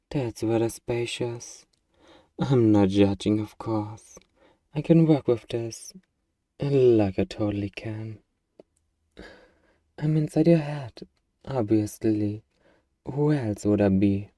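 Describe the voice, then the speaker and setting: An eager, harmless little demon, played by a man speaks in a very dark, relaxed voice, close and dry. The delivery is slow and coaxing, full of hesitant hums and playful politeness, in the style of a comedic ASMR roleplay. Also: on the low side, dry recording. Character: eager, friendly, bumbling little demon named Amber.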